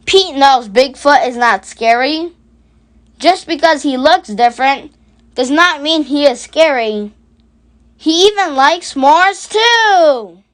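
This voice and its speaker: A person reading a children's picture book aloud in a deliberate storytelling style, drawing out the questions. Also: high-pitched, lively intonation, a bit bright. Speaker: children's book reader and channel host. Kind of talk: read-aloud storybook narration.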